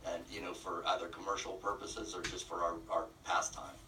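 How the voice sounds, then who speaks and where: A man explaining things conversationally as a radio interview guest, often pausing mid-phrase. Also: sits low, level tone, pushed and bright, far from the mic, slight background noise, low-quality recording. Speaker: interview guest, nonprofit representative.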